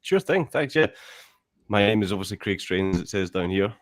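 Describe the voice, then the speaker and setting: Low-voiced man from Scotland. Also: lively intonation, brisk, no room sound, studio quality. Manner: friendly, informal, many "yeah" and "um". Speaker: bass teacher and podcaster. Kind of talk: self-introduction on a live stream.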